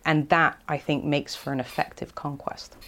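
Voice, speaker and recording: A woman's voice: low voice, level tone, normal speed, a bit bright. A woman speaking as an academic guest in a conversational interview, explaining things in a hesitant, thinking-aloud way. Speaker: academic expert guest, archaeologist.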